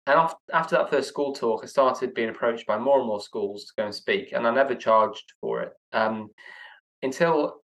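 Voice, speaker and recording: A man's voice: medium pitch, normal speed, creaky, a bit bright, poor audio. A young man being interviewed as a guest speaker, answering in a reflective, earnest way with some stammering restarts.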